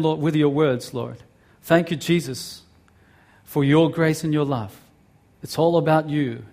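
A middle-aged man preaching a sermon, his English carrying a blend of Korean, Australian and American accents. His voice sits a little high, and he talks in a friendly, halting way, with frequent ums and uhs. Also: darkish tone. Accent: mix of Korean, Australian and American. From South Korea. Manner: friendly, halting, many fillers. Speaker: guest pastor.

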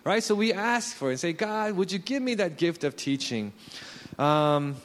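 A man's voice: sits high, sing-song, normal speed. A man in his late thirties, a pastor, preaching a sermon in a conversational, self-deprecating way. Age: 30s.

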